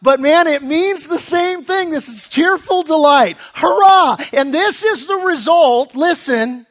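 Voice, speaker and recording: An American man preaching a sermon as pastor, reading scripture and growing animated and excited as he explains it. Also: extremely high pitch, unhurried.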